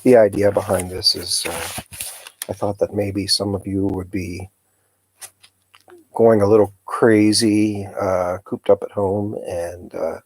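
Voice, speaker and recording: A man hosting a live storytime, reading a children's novel aloud in a calm, unhurried, hesitant manner. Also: low-pitched, breathy edge, no echo, poor audio.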